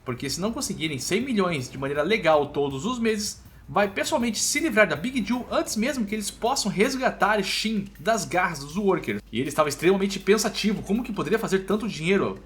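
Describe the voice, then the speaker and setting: Male Brazilian recap narrator, notably high voice, lively swings in pitch, dramatic storytelling, light music bed underneath. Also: a little fast, few pauses. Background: faint background music.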